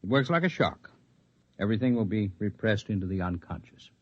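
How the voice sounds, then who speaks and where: An actor playing a composed, articulate leader of an underground freedom movement in 1950s radio drama style, speaking in measured, formal, persuasive speeches. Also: male voice, lowish voice, animated, slightly rough, no echo. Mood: calm, instructive. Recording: dull lo-fi vintage radio recording.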